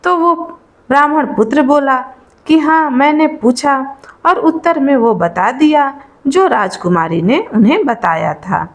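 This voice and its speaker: Slow, high-voiced woman storyteller. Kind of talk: narrated Hindi devotional folk tale, informal oral storytelling.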